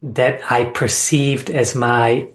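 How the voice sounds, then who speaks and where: A man from Austria speaking as an interview guest, reflecting on personal experience in an earnest, measured way with deliberate phrasing. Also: medium pitch, fairly even intonation. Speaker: sound healing facilitator and interview guest.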